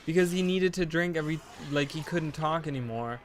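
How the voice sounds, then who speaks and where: A man's voice: fairly high pitch, fairly quick, a little hiss or hum. A man in his late twenties chatting casually while streaming a game, rambling and thinking aloud, full of "like" and "you know". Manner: thinking aloud, self-correcting. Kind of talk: casual chat while gaming.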